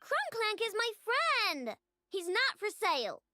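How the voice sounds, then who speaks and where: Very high, bright, feisty little girl. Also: slow, soft background music, heavily acted. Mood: angry, defiant. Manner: emphatic, excitable. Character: feisty little inventor girl, fiercely protective, childlike. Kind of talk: animated video game acting.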